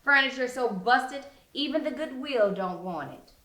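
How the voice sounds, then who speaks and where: A woman's voice: high voice, expressive, measured pace, pushed and bright, voice pushed up, full character voice. A woman voicing a mocking, smirking teenage girl ringleader with taunting, slangy delivery in a story reading. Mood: smirking contempt. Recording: roomy indoor echo.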